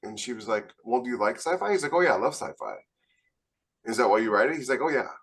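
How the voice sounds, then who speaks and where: A man chats as a podcast co-host in a voice of middling pitch. He speaks informally, in an anecdotal style, slipping into quoted dialogue as he goes. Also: big pitch swings, fast talker, breathy edge. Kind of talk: casual anecdotal chat.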